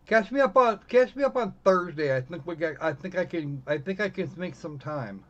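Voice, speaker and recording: High-pitched man, slightly muffled sound. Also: expressive, fairly bright tone. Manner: halting, repeats and restarts phrases. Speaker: live stream host. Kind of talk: casual live game-stream chat.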